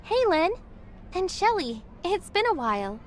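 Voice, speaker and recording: A woman voicing a brisk, teasing young female adventurer in an anime game, pert and sceptical. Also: very high-pitched, big pitch swings, slight background noise, heavily acted. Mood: friendly.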